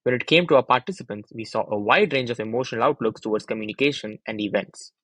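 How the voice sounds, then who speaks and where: A man's voice: medium pitch, fast, a bit bright, no room sound. A young adult man reading a scripted research presentation as a student narrator, in an orderly, explanatory manner.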